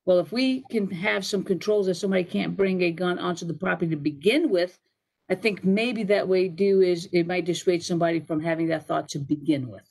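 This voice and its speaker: A woman's voice: lowish voice, brisk, vocal fry, a little mellow. Rambling middle-aged woman on a video call. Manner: rambling, restarts with fillers. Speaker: panelist on public safety. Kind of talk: explaining policy on a video call. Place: home office, indoors. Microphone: video call webcam.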